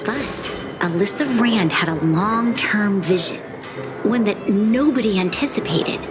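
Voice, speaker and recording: A woman playing an earnest, resolute young female leader in a video game cutscene, speaking in a measured, formal way. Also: medium pitch, lively intonation, lightly acted. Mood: solemn, proud. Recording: loud game music behind the voice. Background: music.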